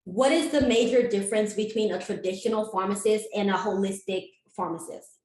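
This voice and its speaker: A woman's voice: mid-range voice, brisk, darkish tone. Upbeat woman host in echoey room. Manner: upbeat, emphatic. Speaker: interviewer, show host. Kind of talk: interview hosting.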